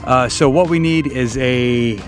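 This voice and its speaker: A man's voice: mid-range voice, a little slow, faint music behind. A man hosting a casual, unscripted how-to vlog, explaining somewhat hesitantly as he thinks aloud. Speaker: vlog host.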